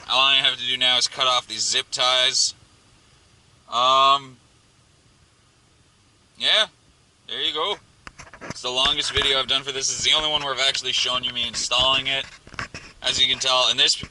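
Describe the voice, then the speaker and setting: Sweary man over clear car noise. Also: mid-range voice, sharp tone, low-quality recording. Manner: offhand, sweary, grumbling. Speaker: amateur how-to video maker. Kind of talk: casual hands-on tutorial, talking while working. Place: inside a car. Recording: clear vehicle noise and clicks.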